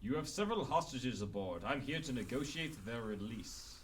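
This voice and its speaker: An actor playing a cocky, wry, pun-slinging crime-fighting hero with dramatic, self-assured delivery in a radio drama. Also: male voice, medium pitch, lively intonation, normal speed, close to an everyday voice. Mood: businesslike. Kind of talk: amateur radio drama acting. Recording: faint noise and ambience, slightly distant.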